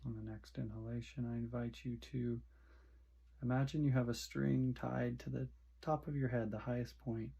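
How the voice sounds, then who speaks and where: A man guiding a meditation in short, soothing phrases with long pauses between them. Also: mid-range voice, fairly even intonation, gravelly, mellow, husky. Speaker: meditation guide. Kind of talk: guided meditation instruction.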